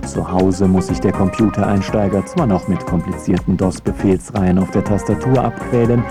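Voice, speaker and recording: Deep-voiced man, wry radio narration. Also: fairly even intonation, music in the background. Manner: ironic, deliberate phrasing.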